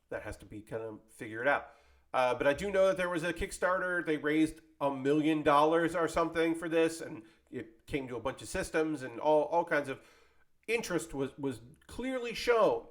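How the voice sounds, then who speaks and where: A man hosting an anime video series in a casual, rambling, conversational style, self-deprecating and given to tangents and mild swearing. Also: mid-range voice. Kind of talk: casual rambling vlog commentary.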